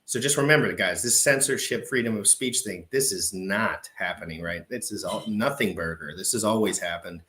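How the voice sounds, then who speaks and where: Low-voiced casual man commenting. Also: normal speed, few pauses, pushed and bright. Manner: explains background to viewers, loose and off-the-cuff. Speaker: show host reacting to an old debate clip. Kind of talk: casual commentary to a live chat.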